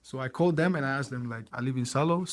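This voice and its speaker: A man in his thirties, from Ghana, talks as a guest on a casual podcast. He tells his story with energy and acts out past conversations. His voice sits at a middle pitch. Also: fast. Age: 30s. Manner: animated storyteller, acts out dialogue with laughs.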